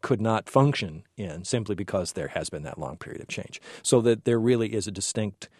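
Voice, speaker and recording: A man's voice: lowish voice, lively intonation, a little fast, darkish tone, husky, dry recording, clean studio sound. A man hosting an interview who asks long, searching questions and often restarts his sentences. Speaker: interviewer host. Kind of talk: conversational interview.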